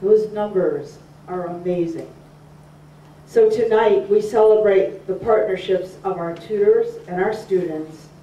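An older woman delivering a formal, scripted speech in a measured way. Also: mid-range voice, mellow. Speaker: program speaker. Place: community room, at a podium. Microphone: podium mic, far camera. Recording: dull, echoey room from far off.

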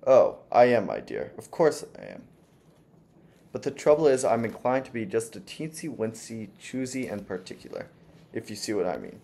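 A man's voice: fairly low pitch, big pitch swings, normal speed, vocal fry, dark tone. A man voicing a gushing, fussy older landlady who is sweetly sinister, with a doting, sugary manner.

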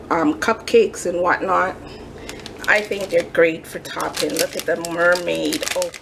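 A woman's voice: medium pitch, noisy background. A woman chatting casually as she shows off a craft shopping haul, gushing with many fillers, recorded at a kitchen counter. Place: home kitchen counter, indoors.

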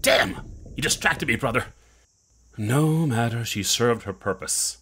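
A man's voice: mid-range voice, lively intonation, measured pace, pushed and bright, slight background noise. An actor playing a condescending, scheming male villain in an audio drama, mock-courteous and theatrical. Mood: theatrical menace.

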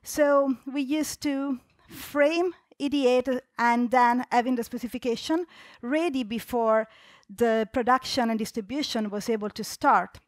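A woman's voice: fairly high pitch, steady tone, measured pace, dry recording, studio quality. A young adult woman from Italy with an Italian accent, giving a conversational conference talk with many fillers and restarts. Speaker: conference speaker. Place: large dark auditorium stage.

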